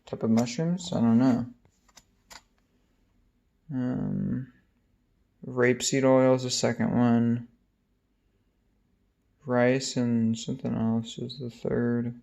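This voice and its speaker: A young man talks through his day in a loose, rambling vlog, pausing often to search for words. His mid-pitched voice carries a gravelly edge. He sounds easygoing and unhurried. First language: English. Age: young adult. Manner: relaxed, rambling, many ums. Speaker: vlogger, exchange student. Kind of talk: casual vlog narration.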